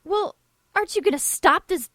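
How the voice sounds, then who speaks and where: A woman's voice: very high-pitched, normal speed, fairly bright tone, dry recording, studio quality. A woman playing an earnest, outspoken nun in an audio drama. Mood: protesting.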